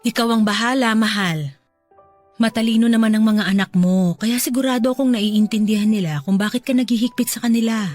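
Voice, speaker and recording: An actress with a Filipino accent playing a loving, reassuring middle-aged farmer's wife in a radio drama, speaking in a soothing, affectionate way. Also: female voice, a little high, brisk, a little mellow, soft background music, natural voice. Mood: affectionate, teasing. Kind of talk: Tagalog radio drama acting.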